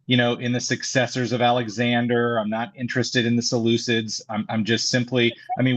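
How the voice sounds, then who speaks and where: A man's voice: medium pitch, a bit bright. A man co-hosting a political talk show, arguing his point with many restarts and fillers. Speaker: co-host. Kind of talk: political discussion. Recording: dull lo-fi sound.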